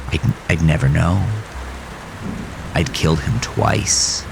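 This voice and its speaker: A man acts out a haunted, bitter farmer confessing a horror tale in an audio drama. His voice sits extremely low, with a heavy gravelly fry. He tells it slowly and gravely, brooding over each phrase. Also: noisy background, full character voice. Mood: tense, grim. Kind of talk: scripted first-person horror narration.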